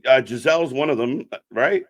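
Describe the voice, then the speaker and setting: A man's voice: mid-range voice, normal speed. A man hosting an informal interview in casual chat style, often repeating short affirmations. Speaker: host of a wrestling fan channel.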